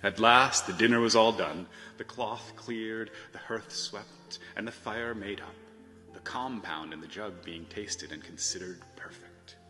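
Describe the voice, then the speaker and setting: A man narrating a storybook tale theatrically, with measured phrasing and emphatic swells. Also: lowish voice. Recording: reverberant hall sound, music behind.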